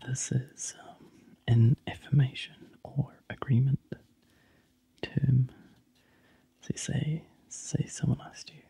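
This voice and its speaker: A soft, breathy ASMR whisper from a New Zealand man, unhurried and full of little ums. His voice sits mellow and dark, with a gravelly edge. The breathiness never lifts into clear tone. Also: mid-range voice, steady tone, no echo.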